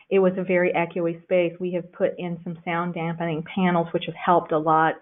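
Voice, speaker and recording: Woman lecturing over a tinny line. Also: fairly low pitch. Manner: conversational, frequent "um" fillers. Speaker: children's librarian giving a lecture. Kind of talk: recorded lecture with slides. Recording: tinny phone-like recording.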